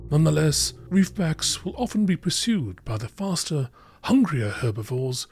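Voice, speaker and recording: A man reading scientific entries aloud as scripted narration in a nature documentary style, measured and carefully enunciated. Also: on the high side, a little mellow, husky, dry recording.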